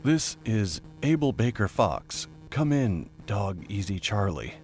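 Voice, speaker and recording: A man's voice: lowish voice, big pitch swings, slow, darkish tone, faint music behind, voice pushed down. A man voicing a tired, earnest air force major making procedural radio reports in an audiobook story. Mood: weary. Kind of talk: scripted audiobook character dialogue.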